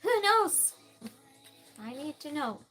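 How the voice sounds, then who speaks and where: Slow, high-voiced woman with a Norwegian accent. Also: sing-song, a bit bright, faint music behind. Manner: friendly, chatty, many fillers and restarts. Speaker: live streamer. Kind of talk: casual live-stream chat, reading viewer messages aloud.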